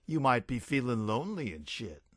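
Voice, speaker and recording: A man voicing a smooth, pushy young street hustler with slangy salesman patter in an audiobook story. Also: mid-range voice, very animated, normal speed, a bit bright, dry recording, studio quality.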